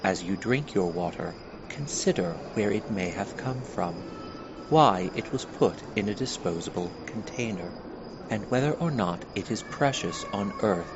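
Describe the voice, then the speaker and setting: A man playing a solemn otherworldly museum guide, speaking in formal, measured scripted narration with a questioning bent. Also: lowish voice, lively intonation. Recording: clearly noisy, dull lo-fi recording.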